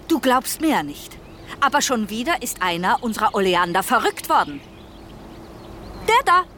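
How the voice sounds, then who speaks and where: Obstinate suspicious wife, Austrian accent, indignant, very animated pitch, slowish delivery, radio drama. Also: female voice, slight background noise, heavily acted. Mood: agitated, suspicious. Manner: accusing, indignant, emphatic. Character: suspicious, aggrieved, obstinate wife obsessed with intruders.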